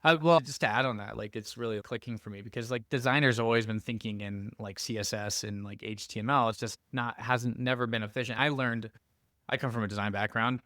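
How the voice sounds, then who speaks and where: A man hosting a podcast in casual conversation, talking informally and haltingly as he works out his thoughts. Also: medium pitch, steady tone, sharp tone, no echo, clean studio sound. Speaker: podcast host.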